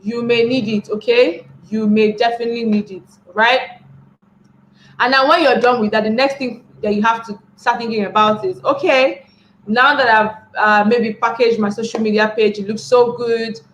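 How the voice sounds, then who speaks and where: A woman's voice: on the high side, steady tone, moderate pace, a bit bright. A young Nigerian woman giving a motivational webinar talk, repeating key phrases and checking in with her listeners by asking "right?". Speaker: webinar speaker. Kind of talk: motivational talk to an online class. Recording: echoey room with faint background noise.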